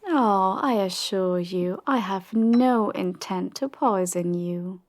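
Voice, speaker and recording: A woman playing a commanding, power-hungry crime boss in an audio drama, measured and condescending, with polite menace. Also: mid-range voice, close to an everyday voice. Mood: silky politeness. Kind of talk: audio drama roleplay acting.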